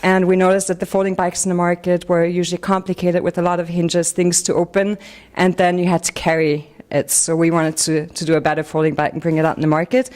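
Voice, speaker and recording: A woman's voice: on the low side, level tone, quick pace, darkish tone. A woman co-founder presenting her company in a fluent, businesslike way, with occasional restarts. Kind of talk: pitch presentation.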